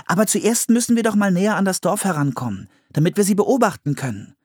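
A man's voice: high voice, sing-song, fast talker, a little mellow, breathy edge, no room sound, clean studio sound, pitched above the performer's own voice, full character voice. A bold little girl, voiced by a man. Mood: eager. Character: young girl, bold and quick-witted. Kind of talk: audiobook character voice.

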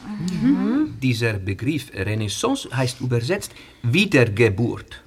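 An actor playing a friendly, slightly nervous Italian male history teacher in an audio drama, polite and gently humorous. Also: medium pitch, huge pitch swings, slow pace, background noise, full character voice.

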